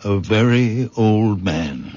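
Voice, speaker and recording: A really old country healer, slow and plain spoken, old radio play, muffled noisy recording. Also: male voice, fairly low pitch, expressive. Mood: grave, tender. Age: older. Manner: slow, plain-spoken, repeats short phrases. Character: very old village farmer, gentle healer, quietly stubborn. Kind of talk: 1970s radio drama acting.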